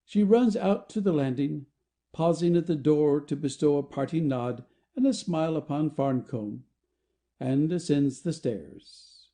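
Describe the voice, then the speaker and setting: A male narrator reads scripted stage directions for an audio play. His voice is very soft-edged and dark in tone, yet sits a little high for a man. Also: measured pace.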